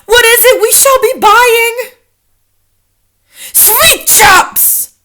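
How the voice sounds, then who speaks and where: A woman's voice: extremely high pitch, animated, a little slow, sharp tone, pitched above the performer's own voice, heavily acted. A woman voicing a crowd of fawning female witches who chant their eager agreement.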